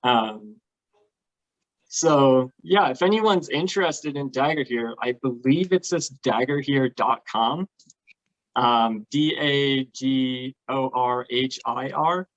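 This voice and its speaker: A man's voice: mid-range voice, slow, lo-fi sound. A man talking casually and enthusiastically, explaining things as a guest on a talk show. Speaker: guest, LARP participant.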